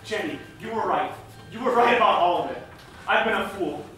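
A man's voice: sits high, animated, fairly quick, over loud music. A young man acting an earnest, melodramatic lover in a stage comedy, theatrical in delivery. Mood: lovestruck, earnest. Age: young adult. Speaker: student stage actor. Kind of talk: theatrical romantic monologue. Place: large theatre, indoors. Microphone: far camera. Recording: echoey theatre from far off, noisy.

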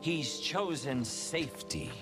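A man's voice: medium pitch, animated, takes it slowly, over music. A man playing a serene, sanctimonious cult preacher in a video game, talking in a pompous, theatrical way. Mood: serene, preachy. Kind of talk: video game character acting.

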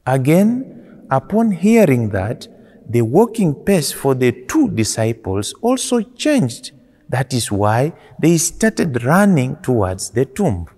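A man in his forties, a priest giving a homily in slow, deliberate preaching with rising and falling emphasis, recorded indoors in a small church. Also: mid-range voice, sing-song, dark tone, in a large hall.

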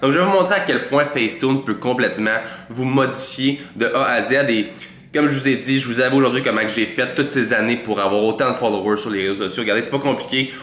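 A man's voice: medium pitch, a bit bright. Québécois man in his twenties, stuffy with a cold, teasing and mock-boastful, close studio mic in an echoey little room. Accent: Québécois French. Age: 20s. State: congested with a cold. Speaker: fitness vlogger. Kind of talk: joking tutorial to camera. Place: small room with a green-lit wall. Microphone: large studio mic in front.

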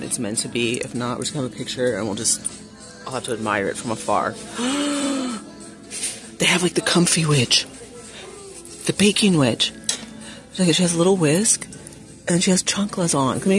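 A woman vlogging as she shops in a big home goods store, chatting casually to viewers with frequent gasps and excited exclamations. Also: low voice, brisk, music in the background. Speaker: shopping vlogger. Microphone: phone camera held while shopping.

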